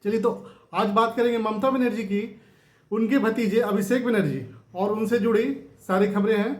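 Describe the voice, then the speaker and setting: Male news commentator, high voice, conversational explainer style, echoing room sound. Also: fairly even intonation, moderate pace. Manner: explanatory, with rhetorical questions and tag phrases. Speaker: YouTube news show host. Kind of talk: informal political news commentary.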